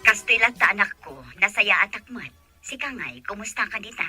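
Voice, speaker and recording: A woman's voice: mid-range voice, lively intonation, a little fast, bright, forceful tone, slightly rough, faint music behind, full character voice. A woman with a Filipino accent acting a caring, determined mother in an audio drama. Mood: concerned.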